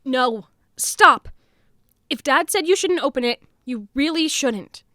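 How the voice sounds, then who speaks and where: A woman's voice: high-pitched, lively intonation, slow, sharp tone, no room sound, clean studio sound, exaggerated voice. A young woman playing a bossy, theatrical young god who hosts a talk show, easily exasperated. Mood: alarmed, scolding. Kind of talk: amateur audio drama acting.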